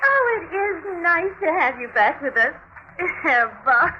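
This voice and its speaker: A performer in a 1950s radio drama playing an effusive, giggly, excitable bakery shopkeeper. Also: very high voice, unhurried, slight background noise, exaggerated voice. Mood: delighted. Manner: gushing, excitable. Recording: tinny 1950s radio recording.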